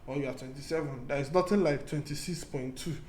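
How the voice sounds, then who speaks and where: A man teaches a programming lesson in a loose, talk-it-through way, stumbling over restarts and saying his main points twice. His voice sits a little high for a man. A faint hum of background noise runs under the recording. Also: fairly quick, a little mellow. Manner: thinks aloud with many restarts, repeats key points. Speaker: programming tutor. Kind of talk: casual screen-recorded coding lesson.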